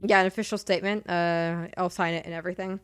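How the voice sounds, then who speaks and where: A woman hosting a live stream chat, speaking hesitantly with many pauses and restarts. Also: on the low side, steady tone, clear, resonant tone.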